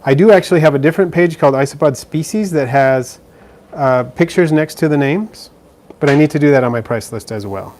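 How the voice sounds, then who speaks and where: A man's voice: fairly high pitch, a little fast, few pauses, a little mellow, a little hiss or hum. A man casually answering viewer questions on a live stream, chatty and easygoing, with plenty of fillers and restarts, filming indoors by a terrarium. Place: indoors beside a frog terrarium.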